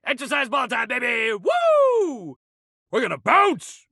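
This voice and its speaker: A man's voice: very high-pitched, huge pitch swings, takes it slowly, vocal fry, bright, forceful tone, slightly rough, no echo, clean studio sound, heavily acted. A man voicing a sporty young guy in a video game, an upbeat badminton lover who talks in slangy, buddy-like coach pep talk. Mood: excited, encouraging. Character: upbeat, sporty young badminton-loving dude, animated shuttlecock. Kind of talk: video game character voice acting.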